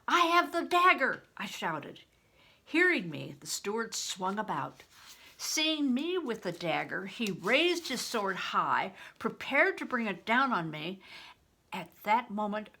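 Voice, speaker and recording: Older woman, storybook narration, unhurried pace, gravelly creak, dramatic delivery. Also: on the low side, a bit bright. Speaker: storyteller reading aloud. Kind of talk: expressive audiobook narration of a children's novel.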